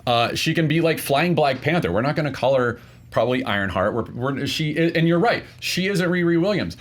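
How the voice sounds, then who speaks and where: A man debating casually as a contestant, argumentative and often restarting his points in a halting way. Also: on the high side, expressive.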